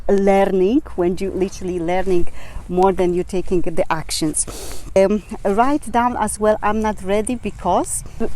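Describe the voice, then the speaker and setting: A woman's voice: mid-range voice, mellow, poor audio. Earnest woman with a Polish accent. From Poland. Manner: earnest, many fillers and restarts. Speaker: channel host. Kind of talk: motivational talk to camera.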